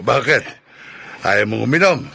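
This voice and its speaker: A man with a Filipino accent playing a breezy, coaxing brother-in-law in a radio drama, with a gravelly voice. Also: medium pitch, lively intonation, slow pace, sharp tone, full character voice. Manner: familiar, coaxing. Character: pushy, breezy drinking-buddy brother-in-law.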